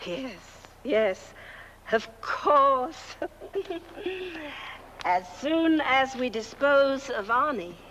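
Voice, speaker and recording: An actress playing a scheming, murderous woman in a campy horror-comedy film, cooing sweetly and mockingly, shifting between hushed and shrill. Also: expressive, very slow, pauses often, highs cut off, slight background noise, full character voice. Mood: sugary scheming.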